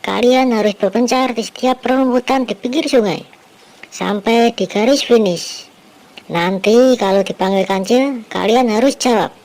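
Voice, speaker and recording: A woman voicing a small, clever snail in a children's storybook tale, speaking calmly and with quiet confidence. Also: fairly high pitch, moderate pace, close to an everyday voice, poor audio. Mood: scheming.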